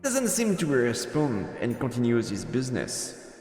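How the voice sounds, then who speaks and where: A man's voice: mid-range voice, huge pitch swings, measured pace, a little mellow. A man doing a playful let's-play, reading story text aloud and adding casual commentary. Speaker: streamer, let's-player. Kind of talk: game narration and casual commentary. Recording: roomy reverb, music behind.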